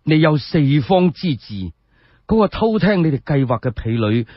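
A resolute Cantonese noblewoman, acted out by a male storyteller admonishes firmly in a radio tale. The voice is raspy, and the recording is dry and dull. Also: fairly high pitch, very animated, moderate pace, no room sound. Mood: stern, urging. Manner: firm, admonishing. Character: resolute noblewoman wife urging her exiled husband onward. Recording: dull lo-fi sound.

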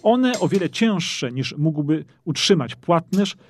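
A man's voice: fairly high pitch, expressive, takes it slowly, long reverb tail, a little hiss or hum. A middle-aged man presenting a history documentary, explaining armour in an explanatory way in a castle armoury, on a lapel mic. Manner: explanatory, points at armour as he speaks. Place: dim brick castle armoury, indoors.

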